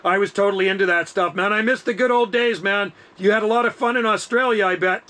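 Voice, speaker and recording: A man's voice: sits high, fairly even intonation, fast, bright, forceful tone. A man in his fifties chatting casually over two-way radio as an amateur operator, enthusiastic and easygoing, with plenty of slang. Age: 50s.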